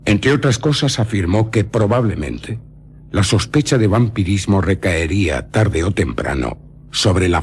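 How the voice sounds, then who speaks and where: An actor playing an eccentric old baron and vampire scholar in a Castilian Spanish radio drama, telling his tale dramatically with lingering pauses and a very raspy voice. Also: low-pitched, slight background noise. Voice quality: very husky, raspy.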